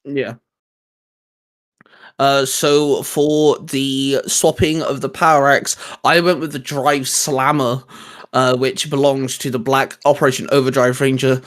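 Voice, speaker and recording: A man's voice: medium pitch, level tone, measured pace, a bit bright. A man co-hosting a casual fan discussion, talking in a rambling, enthusiastic way. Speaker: co-host of a fan discussion show. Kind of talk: casual chat about geeky topics.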